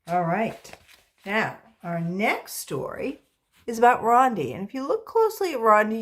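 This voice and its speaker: Animated, unhurried woman reading a children's story. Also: on the high side. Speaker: volunteer storybook reader for children. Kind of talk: read-aloud children's story narration.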